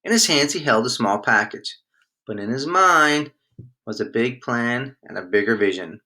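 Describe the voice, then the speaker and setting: A man reading a children's storybook aloud in an expressive storytelling manner. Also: mid-range voice, normal speed. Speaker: storybook reader.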